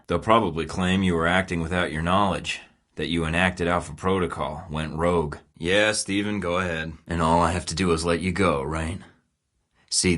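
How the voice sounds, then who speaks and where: A man's voice: sits low, a bit bright. An actor voicing a hardened male secret agent in a video game, terse, sardonic and threatening. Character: hardened male spy in his thirties, defiant.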